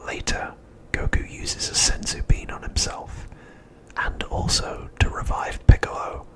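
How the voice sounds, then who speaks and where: A man narrating an ASMR recap in a soft, breathy, unvoiced whisper, hushed and unhurried. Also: poor audio. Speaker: ASMR host.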